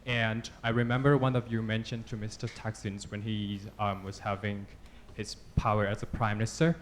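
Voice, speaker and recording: A man from southern Thailand with a Thai accent asks a long, halting question at a press club panel, with many fillers and apologies. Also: fairly low pitch, flat delivery, a little hiss or hum. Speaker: audience questioner.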